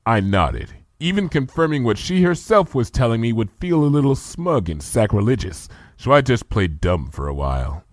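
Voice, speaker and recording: A man narrating a story in an expressive, animated reading style. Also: medium pitch, normal speed, hardly any pauses, dry recording, clean studio sound. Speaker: fanfic reader.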